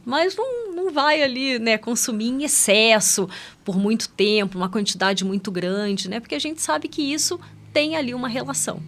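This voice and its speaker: Higher-pitched Brazilian woman, informal expert guest. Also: a bit bright. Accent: Brazilian Portuguese. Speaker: podcast guest, pediatric endocrinologist. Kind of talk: casual expert explanation in conversation.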